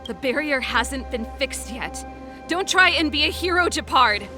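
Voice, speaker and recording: A defiant young elder sister in a video game drama. Her voice is a little high, earnest and impassioned, full of resolve. Music plays clearly underneath. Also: animated, unhurried. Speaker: game character voice actor.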